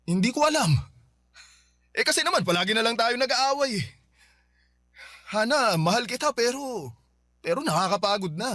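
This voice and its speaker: A weary, exasperated boyfriend. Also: male voice, extremely high pitch, lively intonation, moderate pace, long pauses, bright, forceful tone, no room sound, clean studio sound, exaggerated voice. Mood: arguing, weary. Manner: exasperated, defensive, tired. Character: weary young man in toxic relationship. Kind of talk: radio drama acting.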